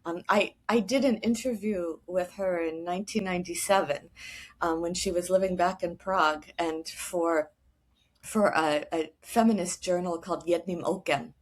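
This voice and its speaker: A woman's voice: lowish voice, moderate pace. A woman guest, a historian, talking earnestly and thoughtfully, often restarting her sentences. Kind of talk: casual conversation.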